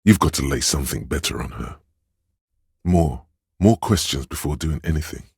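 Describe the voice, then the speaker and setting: A man voicing a coaxing, insinuating inner voice of a detective's mind in a video game, giving deliberate advice in a gravelly, husky tone. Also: extremely low pitch, animated, darkish tone, dry recording, clean studio sound, full character voice. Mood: cautioning. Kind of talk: scripted game narration.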